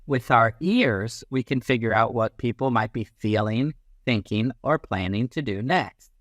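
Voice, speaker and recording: A man reading a children's picture book aloud as a teacher, in an expressive, instructive manner. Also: medium pitch, unhurried, dry recording, clean studio sound. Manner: animated, instructive, acts out little sounds and quotes.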